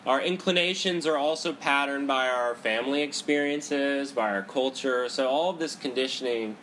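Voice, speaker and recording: A man with a head cold teaching a seated group about meditation, talking loudly in a conversational way and often checking in with "right?". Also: medium pitch, moderate pace, a little hiss or hum, rough recording. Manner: loud talker; conversational, frequent "right?" and "you know". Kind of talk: Buddhist psychology talk to a group. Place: indoor room with a group, seated cross-legged.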